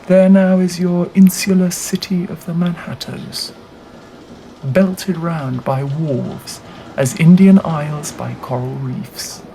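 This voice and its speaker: A narrator reading a classic novel aloud in a measured, deliberate way with dramatic phrasing. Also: mid-range voice, steady tone, vocal fry, dark tone, rough recording. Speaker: audiobook reader. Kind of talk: scripted literary narration. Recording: audible background noise.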